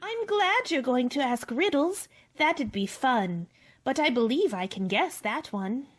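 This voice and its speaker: A prim, curious young girl, played by a woman, with a high voice and lively pitch. She speaks precisely and slowly, in radio-play style. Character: prim, curious, polite but scolding girl.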